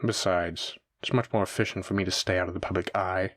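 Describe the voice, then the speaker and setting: Male mafia boss villain, played by an actor, audio drama: lowish voice, dry sound, theatrical, condescending, teasing menace. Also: steady tone, quick pace, fairly bright tone, no room sound. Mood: smug, calm menace. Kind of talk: scripted roleplay audio drama addressed to listener.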